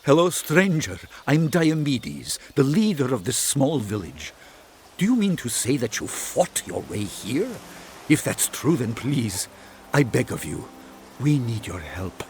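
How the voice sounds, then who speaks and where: An actor playing an earnest, weary village leader in a video game, speaking imploringly. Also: male voice, medium pitch, very animated, a little slow, darkish tone, a little hiss or hum. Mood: pleading, grave. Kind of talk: video game character dialogue.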